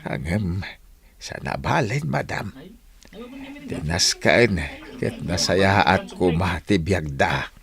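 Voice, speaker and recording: A man with an Ilocano accent acting a humble, polite old widower with halting speech in a radio drama. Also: sits low, animated, gravelly, a bit bright, heavily acted. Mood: humble, sorrowful.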